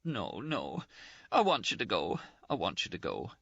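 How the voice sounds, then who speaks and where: A man voicing a tearful, scolding young Scottish nurse, shrill and sobbing in an audiobook. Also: wildly swooping pitch, measured pace, vocal fry, a bit bright, dry recording, highs cut off, heavily acted. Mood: distraught. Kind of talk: audiobook character voice.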